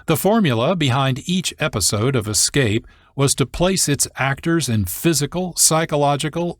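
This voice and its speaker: Dry close-miked sound, male host, mid-range pitch, measured scripted narration, explanatory. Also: dry recording. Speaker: radio show producer and host.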